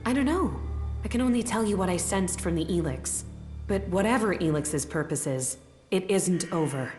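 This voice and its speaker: An actress playing an earnest, thoughtful mage uneasy about her powers in a video game, speaking in a measured way. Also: mid-range voice, lightly acted. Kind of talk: video game cutscene acting. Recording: echoey reverberant space, music behind.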